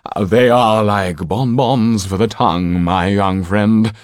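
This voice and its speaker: A man's voice: lowish voice, slow pace, no echo, studio quality. A man playing a flowery, theatrical stage actor. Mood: grandiose. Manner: flowery, grandiose speech. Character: theatrical, long-winded elderly-mannered male actor.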